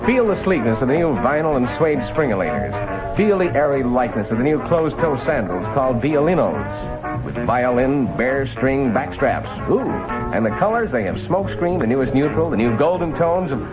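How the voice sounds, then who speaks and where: A highly animated American man works as a radio disc jockey around 1960, swinging between hip patter and sales copy. His pitch moves freely over loud music, as on an old aircheck. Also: normal speed, low-quality recording. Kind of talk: 1960s top-40 radio hosting and live ad reads.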